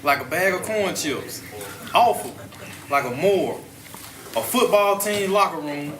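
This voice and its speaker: A man's voice: sits high, a little slow, fairly bright tone. A man giving short answers as a student in a writing class. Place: prison classroom. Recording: echoey room, noisy, off-mic.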